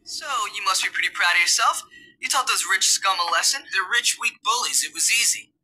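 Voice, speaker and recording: Cocky teen schoolboy in a game, high bright voice, slightly husky, dry sarcastic wisecracks. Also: male voice, a little fast, faint music behind, heavily acted, low-quality recording. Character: cocky teenage boy, sarcastic new student. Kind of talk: video game cutscene acting and narration.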